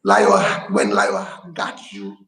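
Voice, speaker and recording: A man's voice: high voice, very slow, vocal fry, reverberant. A man hosting a casual live-stream chat, with a playful, hyping manner. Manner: animated, playful hype.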